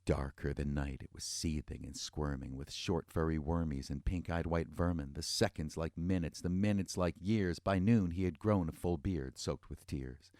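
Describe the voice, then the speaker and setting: A man's voice: extremely low pitch, a little mellow, no echo, clean studio sound. A man narrating a scripted fairy tale in rhythmic verse, with a wry, tongue-in-cheek delivery. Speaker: storyteller.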